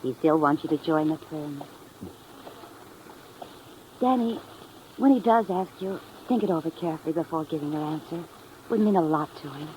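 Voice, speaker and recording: A woman's voice: low voice, moderate pace, long pauses, warm tone, close to an everyday voice, poor audio. Actress as sweet, tender young sweetheart woman. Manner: tender, earnest. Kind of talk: 1950s radio drama acting. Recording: old radio recording, faint noise.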